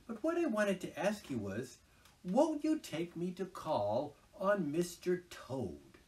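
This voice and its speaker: A man voicing an eager, mild-mannered young male mole with a soft, polite way of talking. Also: sits high, wildly swooping pitch, slow, recorded from a distance, pitched above the performer's own voice, heavily acted.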